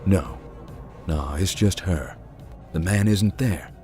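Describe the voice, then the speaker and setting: An actor voicing a calm young man who hunts ghosts, speaking in a dry, matter-of-fact way. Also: deep voice, slow pace, faint music behind. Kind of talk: visual novel voice acting.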